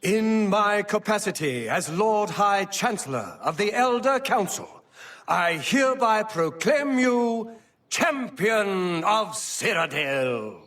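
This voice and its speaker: An actor playing an earnest, formal imperial chancellor in a fantasy game, with declamatory and emphatic delivery. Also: male voice, high voice, very animated, slow, a bit bright, distant mic, exaggerated voice. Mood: proud, ceremonial. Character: earnest imperial high chancellor, formal, stately, concerned statesman. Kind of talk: dramatic fantasy game acting.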